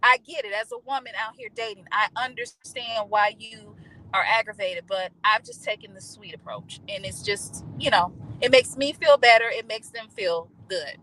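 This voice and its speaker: Woman cohosting a dating podcast, bright and upbeat, higher voice, dry close mic. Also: normal speed, a little hiss or hum, rough recording. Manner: animated, lilting into a sugary voice for examples. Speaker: podcast co-host. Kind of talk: casual chat.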